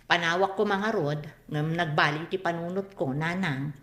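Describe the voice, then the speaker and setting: A woman's voice: fairly low pitch, normal speed, a bit bright, lightly acted. A woman playing a testy, proud young mother in an Ilocano radio comedy-drama, speaking with an Ilocano accent. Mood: wry, casual. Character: adult daughter and mother, testy, proud of looks. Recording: roomy studio echo.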